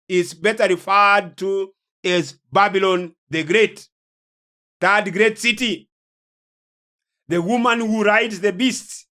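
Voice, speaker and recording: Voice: medium pitch, a bit bright. A preacher delivering a sermon-like lecture on prophecy, solemn and emphatic, repeating phrases for weight. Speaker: preacher and host of a religious teaching series.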